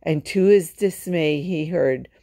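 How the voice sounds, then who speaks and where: Low-pitched, unhurried woman reading a storybook. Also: vocal fry, mellow, no echo. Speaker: storytime reader. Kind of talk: children's picture-book read-aloud.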